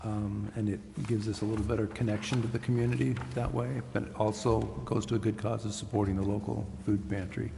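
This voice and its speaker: Casting: American man, city official, low-keyed and gravelly, careful hesitant phrasing, recorded in a reverberant meeting hall. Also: level tone, a little fast, few pauses. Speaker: city official advising a council. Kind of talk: formal public meeting talk. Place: council chamber. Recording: echoey hall, faint background noise.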